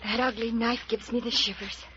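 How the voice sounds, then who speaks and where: An actress playing a timid, anxious, gentle young woman in an old-time radio mystery, hesitant and pleading. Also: a little high, steady tone, moderate pace, close to an everyday voice. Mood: uneasy. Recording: dull, noisy vintage radio recording.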